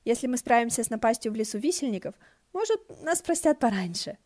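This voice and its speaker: A woman's voice: on the high side, big pitch swings, quick pace, darkish tone, no echo, clean studio sound, pitched above the performer's own voice. A woman voicing a playful, chatty young male wind deity in a fan-fiction audiobook, gushing and full of eager questions. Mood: eager, friendly. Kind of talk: audiobook character voice.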